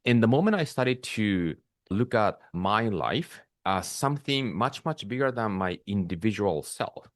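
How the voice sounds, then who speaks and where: Man, podcast host, low voice, calm and measured, dry close sound. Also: no room sound. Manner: calm, measured, searching for words with restarts. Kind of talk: reflective spiritual monologue.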